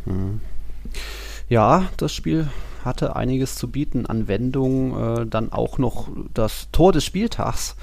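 Gravelly-voiced man casually talking football. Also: medium pitch, animated, takes it slowly, darkish tone. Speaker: football podcast co-host.

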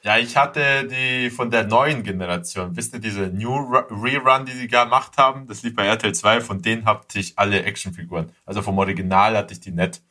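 A man's voice: on the low side, a little fast, few pauses, pushed and bright. A man chatting casually, enthusiastic and slangy in the way he talks. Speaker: podcast guest or co-host. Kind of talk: casual chat about childhood cartoons.

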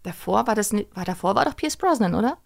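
Creaky-voiced woman chatting informally. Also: medium pitch, lively intonation, fast, darkish tone. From Berlin, Germany. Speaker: guest, voice actress and audio drama director. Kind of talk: casual interview talk.

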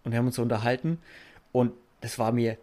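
A young man chatting casually as a podcast co-host, agreeable and quick to laugh. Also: mid-range voice, flat delivery, mellow. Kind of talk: casual chat.

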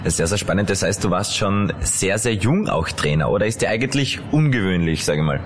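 A man's voice: low-pitched, expressive, a little fast, slight background noise. A man hosting a radio interview, asking friendly, direct questions. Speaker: radio host.

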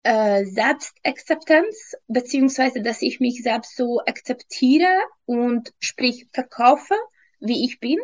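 A woman in her early thirties with a Hungarian accent gives a personal account as an interview guest. Her voice is fairly high and slow, halting as she searches for words as a non-native speaker. The recording is dull and lo-fi. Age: early 30s. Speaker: interview guest, fitness trainer. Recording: dull lo-fi sound.

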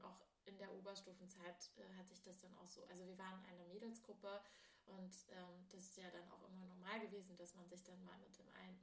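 A woman's voice: on the low side, creaky, reverberant. A woman in her late twenties from southern Thuringia, hosting a casual podcast chat, giggly and full of fillers and self-corrections. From southern Thuringia, Germany. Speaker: podcast host.

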